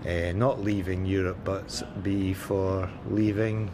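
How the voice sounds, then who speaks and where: Very deep slow man in a workshop. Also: level tone, background noise. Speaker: interviewee. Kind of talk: workplace interview.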